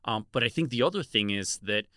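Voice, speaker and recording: A middle-aged man co-hosting a podcast, talking in a relaxed, earnest way with many fillers. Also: mid-range voice, fairly quick, no echo, clean studio sound. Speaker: podcast co-host. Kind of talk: casual podcast discussion.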